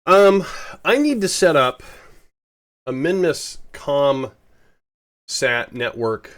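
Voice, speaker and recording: A man's voice: fairly high pitch, big pitch swings, takes it slowly. A man with a head cold giving rambling, casual commentary while live-streaming a space game, often correcting himself.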